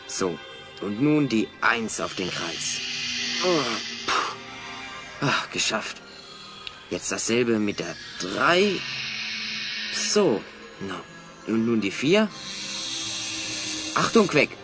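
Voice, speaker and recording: A man's voice: lowish voice, very animated, drawn-out words, long pauses, over music, exaggerated voice. A man playing a bold, resourceful adventurer hero in a German children's audio drama, eagerly explaining his plans. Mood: determined, explaining.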